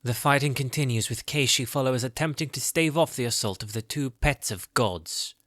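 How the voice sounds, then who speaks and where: A man's voice: mid-range voice, brisk, a bit bright, no room sound, clean studio sound. A man giving scripted narration as the storyteller of a fantasy audio drama.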